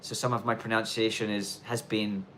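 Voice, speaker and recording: A man in his thirties from Australia, with a mixed Australian and London accent and a touch of Irish twang, chatting casually as he answers viewer questions on a live stream, often restarting sentences and taking care to speak clearly. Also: on the low side, little pitch movement, fairly bright tone, slight background noise. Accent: mixed Australian and London, with some Irish twang. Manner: rambling, self-correcting, deliberately clear delivery.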